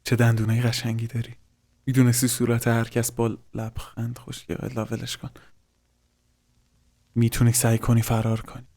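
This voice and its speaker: A man's voice: medium pitch, completely flat delivery, dark tone. A man gives casual reaction commentary as a host, swearing informally and dropping in English slang.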